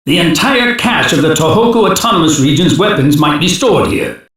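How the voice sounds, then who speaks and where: Anime game security chief: older male, authoritative and clipped, somewhat raised pitch, very reverberant sound. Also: expressive, moderate pace, fairly bright tone. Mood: grave, businesslike. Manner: terse, authoritative, formal briefing delivery. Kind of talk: English-dubbed anime game voice acting. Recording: very echoey, reverberant game audio.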